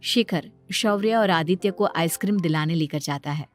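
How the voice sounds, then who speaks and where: A woman's voice: on the low side, normal speed. A woman narrating a scripted dramatic story. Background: background music.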